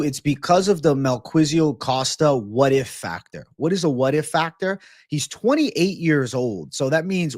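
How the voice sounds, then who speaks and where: Young adult male livestream host from Massachusetts, casual and slangy, mid-pitched voice on a dry close mic. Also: dry recording. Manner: loose, animated, slangy, says bro. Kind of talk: casual livestream chat reading viewer comments.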